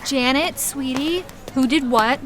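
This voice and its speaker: A woman's voice: high voice, measured pace, slight background noise. An actress playing a sassy, protective best friend who mothers and coaxes in an audio drama. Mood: concerned. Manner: sassy, coaxing.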